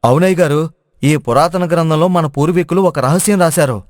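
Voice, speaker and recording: A man plays a cautious village guide who knows old secrets, in an animated folk tale. The voice is a bit high, explanatory and urgent, recorded dry. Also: fairly quick, dry recording, close to an everyday voice. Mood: explaining. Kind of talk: animated moral story dubbing.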